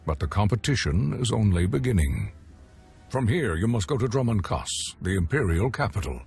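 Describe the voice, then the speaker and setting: Grata, a grave formal contest official. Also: male voice, lowish voice, sing-song, gravelly, a little mellow, husky, full character voice. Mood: measured. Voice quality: measured, formal and precise. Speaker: tournament official. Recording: music behind.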